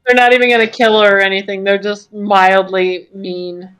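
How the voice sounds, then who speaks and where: A woman's voice: mid-range voice, little pitch movement, moderate pace, over loud music, low-quality recording. Sarcastic woman bantering. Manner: sarcastic, mocking. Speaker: co-commentator. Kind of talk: casual joking banter.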